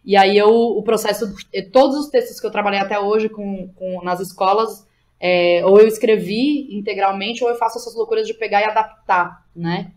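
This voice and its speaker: A woman with a Brazilian accent talks as a guest in a casual interview. Her pitch sits in the middle range. She speaks informally, with plenty of slang and small tags that check the listener follows. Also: fairly even intonation, moderate pace, a little mellow. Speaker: interview guest, playwright, director and drama teacher. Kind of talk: casual, animated interview talk.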